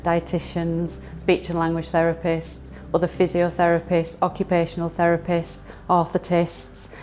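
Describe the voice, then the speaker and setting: Low-voiced woman physiotherapist. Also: monotone, moderate pace, darkish tone, slight background noise. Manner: reflective, earnest, with fillers. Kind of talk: interview about her work.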